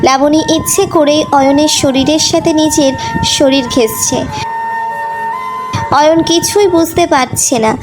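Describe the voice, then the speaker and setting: A woman's voice: high-pitched, low-quality recording. A woman reading scripted narration for a romantic audio story.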